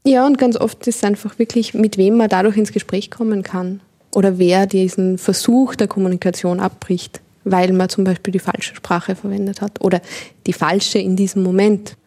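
Woman expert explaining stuff, mellow voice, thoughtful. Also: mid-range voice, steady tone, normal speed. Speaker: expert interviewee. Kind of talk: explanatory interview.

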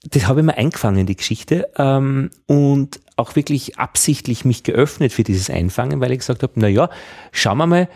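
A man with an Austrian accent chatting casually as a radio journalist, telling things in a wry, halting, rambling way. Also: medium pitch, lively intonation, gravelly, darkish tone. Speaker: radio journalist hosting a conversation. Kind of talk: casual conversational talk.

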